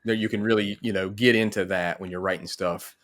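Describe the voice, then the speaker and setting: Low-voiced man hosting a casual interview. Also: steady tone, brisk, fairly bright tone. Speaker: host, interviewer. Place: indoor room on a video call.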